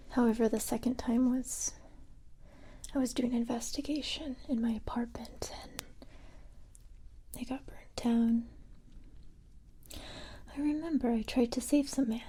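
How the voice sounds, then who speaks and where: A woman voicing a calm, sympathetic female special-forces recruiter in a soft-spoken ASMR roleplay, gentle and reassuring in a breathy whisper. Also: a little high, unhurried, pauses often. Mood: calm, reflective. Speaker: ASMR creator playing a roleplay character.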